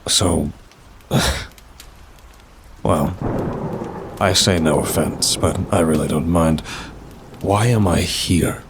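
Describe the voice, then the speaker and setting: Man playing a low, gravelly, sardonic demon. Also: noisy background, full character voice. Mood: dry, weary. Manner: dry, haughty, drawn-out sighing delivery. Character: weary, sardonic male demon, aloof bureaucrat of damnation. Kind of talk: ASMR roleplay acting.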